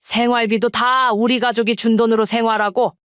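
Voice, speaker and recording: A woman plays a tender middle-aged mother who speaks softly and with concern. Her voice is smooth and clear, set a bit high. The sound is dry and a touch dull. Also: flat delivery, moderate pace, dry recording, natural voice. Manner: gentle, soothing, concerned. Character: caring middle-aged mother, gentle and worried.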